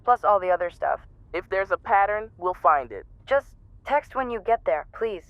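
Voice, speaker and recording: A woman's voice: medium pitch, lively intonation, moderate pace, no echo. A woman playing an earnest, worried young investigator in an audio drama, hesitant and repeating words, heard over a phone call. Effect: phone call filter. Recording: thin, tinny phone line.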